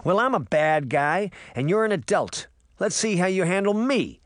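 A man's voice: mid-range voice, very animated, brisk, no echo, clean studio sound, close to an everyday voice. A man voicing a cocky young troublemaker boy in a storybook, snappish and grumbling. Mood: defiant. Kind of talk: audiobook character voice.

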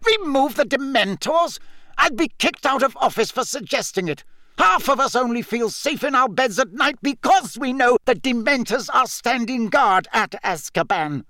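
A man's voice: very high voice, sing-song, slow, hardly any pauses, pushed and bright, no echo, clean studio sound, pitched above the performer's own voice, heavily acted. A man voicing a short, pompous male government minister in an audiobook, blustering, indignant and panicky. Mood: outraged, shrill. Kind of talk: audiobook character voice.

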